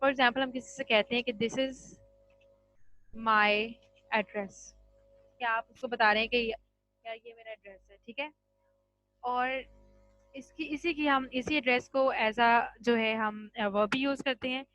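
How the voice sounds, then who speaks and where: A woman teaching an English grammar class online, explaining slowly and checking in with "ठीक है" after each point. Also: fairly high pitch, steady tone, bright, forceful tone, no room sound, faint music behind. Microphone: computer mic on a video call. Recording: dull, dry video-call audio.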